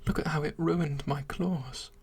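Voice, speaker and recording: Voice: medium pitch, unhurried, husky. A reader in an airy whisper voicing a lovesick scaly monster that pleads and repeats itself. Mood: pleading. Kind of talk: whispered story reading.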